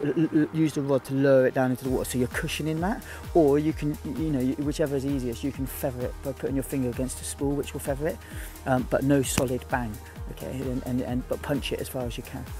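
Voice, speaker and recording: A man guiding a beginner through fishing by a lake, giving quick, repeated instructions. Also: mid-range voice, dark tone. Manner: urgent, repetitive instructions. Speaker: fishing guide. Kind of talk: on-the-spot coaching. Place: outdoors by a lake.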